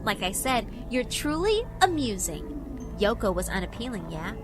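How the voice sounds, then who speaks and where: A woman's voice: mid-range voice, sing-song, music in the background. A woman voicing a cruel, mocking female school spirit in a horror visual novel, haughty and theatrical. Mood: taunting, menacing. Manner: taunting, haughty, drawn-out theatrical delivery.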